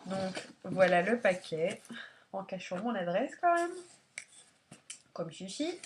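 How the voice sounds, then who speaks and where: A woman's voice: medium pitch, big pitch swings, slow, slight background noise. A woman with a congested, head-cold voice chats informally and a little hesitantly as she reviews products in a casual beauty vlog. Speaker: beauty vlogger.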